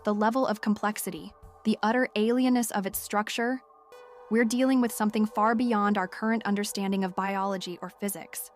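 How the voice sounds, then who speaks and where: Female scientist played by an actress, audio-drama diary: intense, confiding, hesitant, fixated yet scared, soft music bed. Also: medium pitch, lightly acted. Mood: secretive, torn. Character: obsessed, frightened woman scientist keeping a lab log. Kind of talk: dramatic monologue as spoken diary. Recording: music under the voice.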